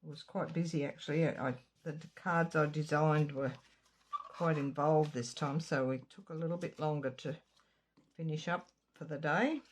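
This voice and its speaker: A woman with a slightly croaky throat giving a chatty card-making tutorial, drifting into asides while she works. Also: deep voice, fairly even intonation, normal speed. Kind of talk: casual craft tutorial. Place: home craft room.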